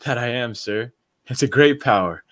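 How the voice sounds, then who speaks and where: A man's voice: medium pitch, moderate pace, a bit bright, lightly acted. A man playing a deranged, power-mad village postman and bell ringer in a radio drama, with a rustic, menacing manner. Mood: gloating. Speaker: amateur actor in a student radio drama. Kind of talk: 1940s radio drama acting.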